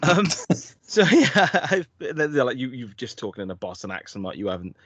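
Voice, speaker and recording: A man's voice: medium pitch, very animated, moderate pace, fairly bright tone, slightly rough, dry recording. A man co-hosting a podcast, chatting casually in a jokey, rambling way with plenty of laughter. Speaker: podcast co-host. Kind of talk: casual chat.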